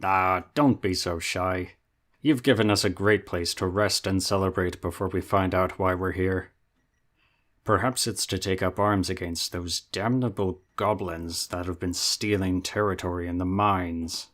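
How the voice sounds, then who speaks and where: A man's voice: low-pitched, fairly even intonation, unhurried, a bit bright. A man voicing a hearty, earnest male dwarf. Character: deep-voiced hearty male dwarf, friendly.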